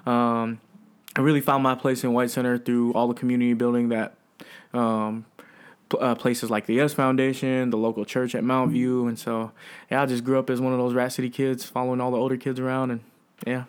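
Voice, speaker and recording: A man in a relaxed conversation, joking and laughing easily as he talks about growing up. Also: mid-range voice, a little fast, smooth. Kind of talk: casual chat.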